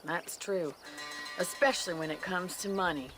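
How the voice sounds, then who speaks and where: An actress playing a hardy, earnest American rancher's daughter of the Old West, frank and practical, in a video game. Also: female voice, lowish voice, moderate pace, a bit bright, soft background music, natural voice. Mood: earnest, concerned. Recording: outdoor animal and hoofbeat noise behind.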